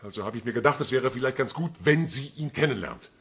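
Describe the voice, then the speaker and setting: An actor playing a polite, evasive man under police questioning in a crime radio play, speaking in measured, defensive sentences. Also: mid-range voice, animated, vocal fry, a bit bright, slightly rough, full character voice. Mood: guarded. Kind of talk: radio crime drama acting.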